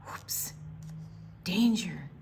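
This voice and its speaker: Slow, expressive American woman reading a storybook. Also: on the low side, creaky, a little hiss or hum. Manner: dramatic pauses, hushed asides, emphatic delivery for young children. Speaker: children's storytime reader at a library. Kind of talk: read-aloud picture book storytelling.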